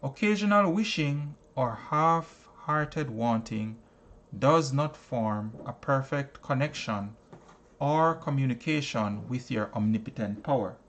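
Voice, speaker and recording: Man, audiobook narration, slow pace, mid-range pitch, earnest, deliberate phrasing, frequent pauses. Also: fairly bright tone. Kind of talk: scripted audiobook narration of a self-help book.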